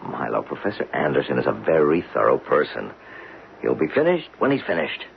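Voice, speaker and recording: An actor playing a curious, easygoing geologist in a radio drama, thoughtful and measured. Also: male voice, very deep voice, huge pitch swings, creaky, full character voice. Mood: patient. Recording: noisy, muffled old radio broadcast.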